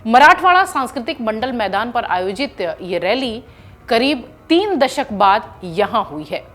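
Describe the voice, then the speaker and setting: A woman's voice: a little high, big pitch swings, measured pace, a bit bright, soft background music. A woman news presenter narrating an explainer in an emphatic, explanatory manner, like a reporter walking viewers through a story.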